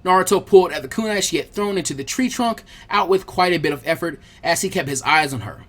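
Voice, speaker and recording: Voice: medium pitch, expressive, quick pace. A narrator reading an action story expressively from a script. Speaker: audiobook narrator.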